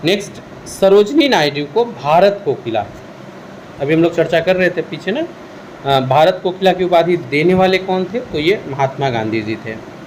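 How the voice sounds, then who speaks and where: Male Indian teacher, slightly high voice, instructional question-and-answer delivery for exam revision, faint hum behind. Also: lively intonation, moderate pace, poor audio. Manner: instructional, poses questions then answers them. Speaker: history teacher.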